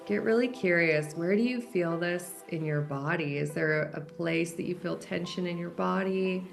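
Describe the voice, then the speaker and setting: A woman leading a guided meditation in a gentle, unhurried way, leaving long pauses between instructions. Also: fairly low pitch, creaky. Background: background music.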